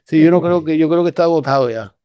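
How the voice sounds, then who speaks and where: An older man from Cuba with a Cuban Spanish accent, telling stories in a relaxed, rambling way with many restarts. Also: a little high, quick pace, smooth, dry recording, clean studio sound. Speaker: guest researcher. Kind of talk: casual conversational storytelling.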